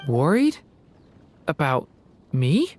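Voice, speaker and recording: An actor playing a gentle young dragon boy in human form, soft, hesitant and earnest, in a video game. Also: medium pitch, wildly swooping pitch, drawn-out words, a little hiss or hum, exaggerated voice. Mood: touched.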